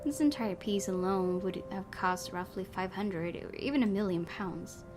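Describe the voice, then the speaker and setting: Female let's play host, mid-pitched, narrating game text, casual asides, clear background music. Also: moderate pace. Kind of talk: reading a horror visual novel aloud with casual commentary.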